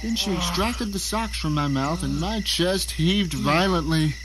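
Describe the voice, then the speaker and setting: A man's voice: high voice, unhurried, background noise. A man playing a bound, panicked novelist, narrating his captivity in an exclamatory audio drama style. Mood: tense recounting. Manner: theatrical, exclamatory. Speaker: narrator and lead actor.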